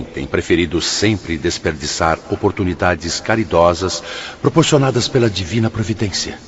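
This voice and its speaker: An actor with a Brazilian accent playing a grave, wise spirit mentor in a radio drama, measured and oratorical. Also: male voice, low-pitched, lo-fi sound, a little hiss or hum.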